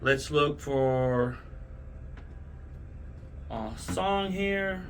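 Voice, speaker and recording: A man's voice: a little high, animated, very slow. A man hosting a casual product unboxing, talking enthusiastically to viewers in short, punchy, repeated phrases. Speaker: host of product unboxing videos. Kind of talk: casual hype product demo to camera.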